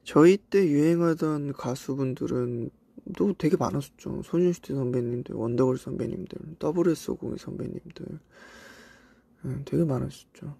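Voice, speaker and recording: Gravelly, mellow-voiced young man chatting. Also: medium pitch, moderate pace, no echo. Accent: Cheongju dialect traces in Korean. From South Korea. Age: young adult. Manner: relaxed, reminiscing, soft asides. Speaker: idol livestream host. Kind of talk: casual livestream chat reading comments. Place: indoors, voice-only stream.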